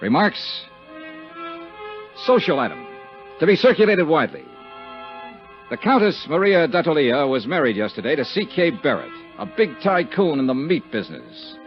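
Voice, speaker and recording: Old muffled radio show, a wry american private investigator, clipped and dry but lots of up and down in the voice, bit of music. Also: male voice, a little high, sing-song, normal speed, pauses often. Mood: wry, businesslike. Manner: clipped, dry, matter-of-fact. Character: hard-boiled, wry male insurance investigator narrating his case. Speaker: radio drama lead actor. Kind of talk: 1950s radio acting.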